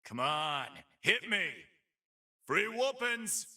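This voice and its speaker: An actor playing a brash, swaggering video game brawler who adores his mother, gruff and raspy, taunting in tough-guy slang. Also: sits high, very animated, slow pace, pushed and bright, far from the mic, full character voice. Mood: combative. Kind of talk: punchy fighting game voice lines.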